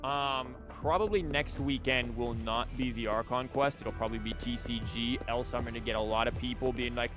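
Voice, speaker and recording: A man's voice: medium pitch, fairly even intonation, normal speed, hardly any pauses. A man giving casual live gameplay commentary as a streamer, in a wry, joking manner. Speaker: game streamer. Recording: game music behind the voice. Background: video game music.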